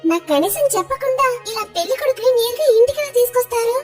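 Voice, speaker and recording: A woman's voice: extremely high pitch, lively intonation, fast talker, a little mellow. A woman playing an anxious, pleading young woman in a cartoon story. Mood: upset. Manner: fretful, pleading. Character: anxious, pleading young woman in love, facing arranged marriage. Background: music.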